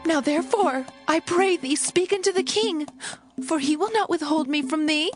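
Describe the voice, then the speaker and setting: An actress playing a young royal daughter who pleads in slow, anguished speech in a dramatized scripture reading. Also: female voice, high voice, music in the background, natural voice. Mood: pleading.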